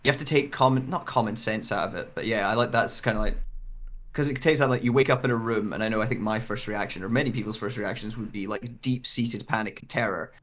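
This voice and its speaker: A man with a slightly low voice chats informally about an acting role. He rambles, breaking off and restarting sentences as he feels his way toward the point. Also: fast talker, fairly bright tone. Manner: rambling, restarts sentences, frequent "like" and "you know". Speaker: guest voice actor. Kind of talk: casual discussion of a role.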